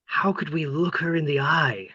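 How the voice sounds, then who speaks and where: A woman's voice: low-pitched, moderate pace, sharp tone, breathy edge, no echo, muffled recording, heavily acted. A woman playing a flustered, love-hungry neighbour of forty-two in a play, hesitant and theatrically torn. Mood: tempted, torn. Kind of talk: play table read.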